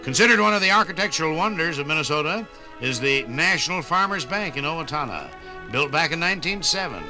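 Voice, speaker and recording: A man narrating a scripted 1950s radio documentary in a measured, formal announcer style. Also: on the high side, lively intonation, sharp tone, poor audio. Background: music.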